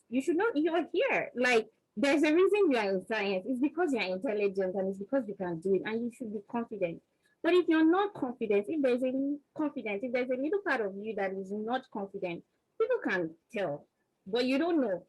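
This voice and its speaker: A woman's voice: medium pitch, husky, low-quality recording. A woman in her thirties with a Nigerian accent, speaking as a panelist on a video call. She talks earnestly and emphatically and repeats words as she builds each point. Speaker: panelist, vaccine research scientist. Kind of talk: motivational panel answer.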